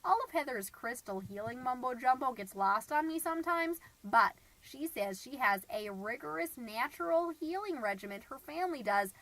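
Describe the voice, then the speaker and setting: A woman's voice: high voice, expressive, moderate pace, hardly any pauses, a bit bright, poor audio. A woman playing a chirpy, gossipy suburban stay-at-home mom who hosts a homemade comedy podcast in a folksy, rambling, self-satisfied way. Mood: gossipy, earnest. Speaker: podcast host.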